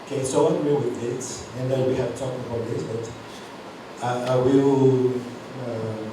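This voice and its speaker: A man's voice: medium pitch, steady tone, measured pace. A man who is stepping down as program coordinator speaks hesitantly at a university panel, stumbling over his words. He is far from the mic, so the sound is echoey and noisy. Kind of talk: panel discussion. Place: university auditorium.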